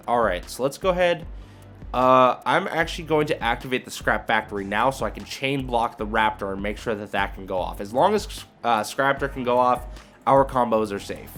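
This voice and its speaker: A man talks through his gameplay in a casual, informal way, working out each step aloud. His voice sits at an average pitch, with music playing behind him. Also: expressive, brisk, a bit bright. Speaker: video creator commentating on own card game play. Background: background music.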